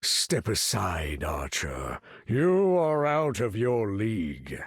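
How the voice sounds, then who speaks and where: Old imperious mutant leader from a game, gravelly n slow, super formal and commanding. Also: male voice, fairly low pitch, wildly swooping pitch, dry recording, studio quality, heavily acted. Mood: stern, menacing. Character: imperious, weary older male mutant leader. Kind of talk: video game character voice lines.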